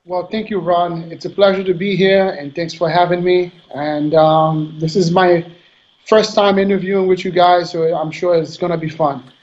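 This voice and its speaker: Man, 30s, from Haiti; soft-spoken, halting interview guest, a bit high, lo-fi sound. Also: fairly even intonation. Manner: soft-spoken, hesitant, repeats words. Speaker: guest bodybuilder. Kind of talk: casual interview answers.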